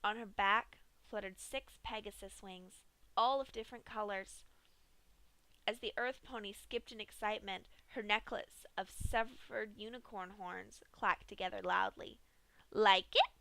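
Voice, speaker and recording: Young woman narrating a grim fanfic, bright voice, dry home mic. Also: medium pitch, fairly even intonation, unhurried, creaky, no echo, low-quality recording. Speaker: fanfic reader. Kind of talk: dramatic story reading.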